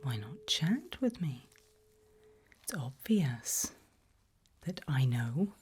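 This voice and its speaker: A woman whispering a medical exam roleplay in ASMR style, breathy and hushed, slow, deliberate and gently coaxing. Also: sits low, very animated, slight background noise. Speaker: ASMR performer playing an examiner.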